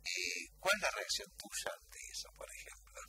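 A man with a Buenos Aires accent hosting conversational live radio, talking in a halting, reflective way. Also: sharp tone. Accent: Argentine, Buenos Aires. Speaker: radio host. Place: radio studio. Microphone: studio microphone.

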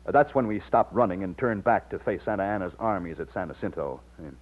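An earnest, husky, low-voiced Texan overseer. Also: male voice, fast talker. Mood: admiring, modest. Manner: plain-spoken, earnest, slightly hesitant. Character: earnest, loyal Texan plantation overseer and war veteran. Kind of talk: old-time radio drama acting with narration. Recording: muffled lo-fi old radio recording.

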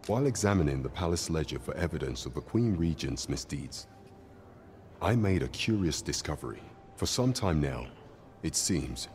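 Very deep, grave knight captain. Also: male voice, a little mellow, slight background noise. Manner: formal, measured, courtly. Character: grave, loyal knight captain advising his sovereign. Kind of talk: scripted fantasy game acting in archaic English.

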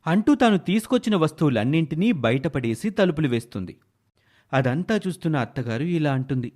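A man's voice: a little high, very animated, a little fast, darkish tone. A man narrating a scripted moral tale as a storyteller.

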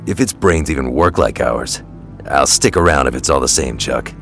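Gruff sarcastic tough guy, gravelly, very deep, faint music behind. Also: expressive, normal speed, fairly bright tone, full character voice. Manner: blunt, sarcastic. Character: gruff sarcastic male mutant brawler.

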